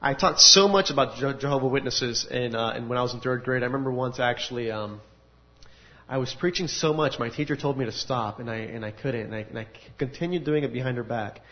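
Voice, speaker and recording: A man of ordinary pitch gives a personal testimony to an audience in a large room. He tells his story earnestly and with animated energy. The recording has a dull, lo-fi sound. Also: fast talker. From United States. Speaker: speaker giving a testimony. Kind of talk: personal testimony at a faith gathering.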